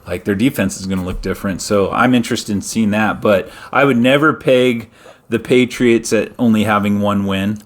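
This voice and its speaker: A man's voice: lowish voice, normal speed. A middle-aged man hosting a casual sports talk show, laughing easily and steering the topics. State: injured wrist. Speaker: host.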